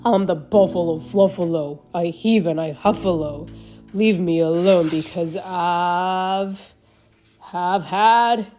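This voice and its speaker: A woman voicing a grumpy, snarly old male buffalo in a storybook, chanting gruffly. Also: fairly low pitch, slow pace, warm tone, soft background music. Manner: gruff, huffy, sing-song chant.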